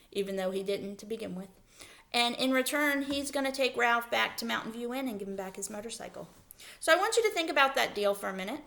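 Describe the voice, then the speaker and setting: A woman's voice: on the high side, fairly bright tone. Woman teacher explaining to young kids. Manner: explanatory, repeats and emphasizes key words, checks with "right?". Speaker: elementary school teacher. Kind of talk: read-aloud lesson for children.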